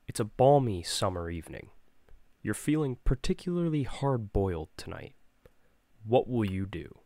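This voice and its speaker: A wry, hard-boiled narrator of a detective adventure game, acted by a man. The voice is lowish and dry, delivered deadpan with mock formality. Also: moderate pace, no echo.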